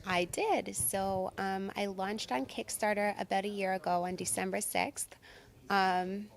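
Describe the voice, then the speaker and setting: A woman's voice: medium pitch, fairly even intonation, measured pace, creaky. Upbeat woman in an interview. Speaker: product creator being interviewed. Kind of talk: conversational interview answers.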